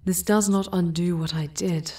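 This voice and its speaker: A woman voicing an ancient female demon, proud but remorseful, in a dramatic ASMR roleplay with hushed, halting delivery. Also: fairly low pitch, fairly even intonation, far from the mic, natural voice. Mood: solemn, pleading.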